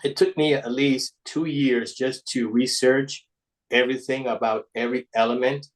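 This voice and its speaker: A man with an Ecuadorian Spanish accent giving an informal slide presentation, speaking haltingly and often restarting his words. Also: medium pitch, fairly even intonation, poor audio. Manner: halting, with repeated word restarts. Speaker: guest presenter, paper model designer.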